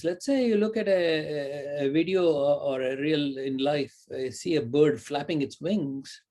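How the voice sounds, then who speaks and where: A middle-aged man lecturing in a mellow, warm voice that sits a little high for a man. He rambles and thinks aloud, with fillers and "right?" tags. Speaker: university lecturer. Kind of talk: recorded lecture.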